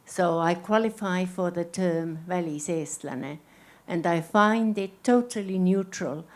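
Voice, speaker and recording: A loud, forthright woman commenting from the audience. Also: fairly low pitch, a little slow.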